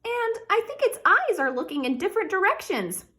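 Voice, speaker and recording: A woman's voice: extremely high pitch, big pitch swings, a little fast, sharp tone, pitched above the performer's own voice, full character voice. A woman voicing a small, earnest, fretful warrior princess girl in a children's storybook. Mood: complaining.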